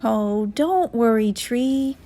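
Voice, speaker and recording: A woman's voice: a little high, animated, takes it slowly, warm tone, slight background noise. A woman voicing an earnest, worried young male fox cub who pleads and protects in a storybook reading. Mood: alarmed, pleading.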